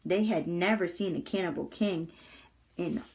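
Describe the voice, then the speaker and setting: A woman reading a children's chapter book aloud with deliberate, expressive storytelling. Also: on the low side, rough recording. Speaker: volunteer storybook reader.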